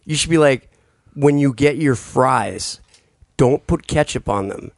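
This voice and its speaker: A man's voice: mid-range voice, a little slow, creaky, dry recording, clean studio sound. A man on a podcast chatting casually, hesitant and often restarting his sentences. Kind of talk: casual comedy chat.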